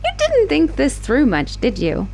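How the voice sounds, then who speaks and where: A woman playing an obsessive, manipulative young woman who escaped an asylum, in a teasing, expressive roleplay monologue spoken straight to the listener. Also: high voice, a little slow, vocal fry, full character voice. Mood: teasing, exasperated. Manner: teasing, possessive, expressive, swinging between sweet and exasperated. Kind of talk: dramatic roleplay audio monologue addressed to the listener.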